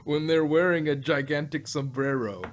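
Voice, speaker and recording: Higher-voiced man chatting over background noise. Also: fairly even intonation, normal speed. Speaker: podcast host reviewing audio drama. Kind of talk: casual chat, joking banter.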